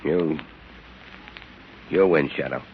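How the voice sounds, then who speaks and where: An actor playing a gruff, surly, hard-boiled gangster in 1930s radio style. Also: male voice, rumbling low, slow, slight background noise, full character voice. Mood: sullen defeat. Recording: muffled 1930s radio recording.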